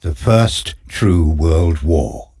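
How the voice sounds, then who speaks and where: A man's voice: a bass voice, big pitch swings, very slow, vocal fry, dark tone, husky. A man giving solemn, dramatic scripted narration for a war game's opening. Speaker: game intro narrator. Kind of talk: scripted documentary-style narration.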